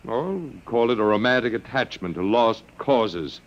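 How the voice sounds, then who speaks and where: An actor playing a resigned but quietly stubborn prisoner in an old radio drama, dry, sardonic and understated. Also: male voice, mid-range voice, expressive, a little slow, natural voice. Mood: wry defiance. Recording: muffled vintage radio drama recording.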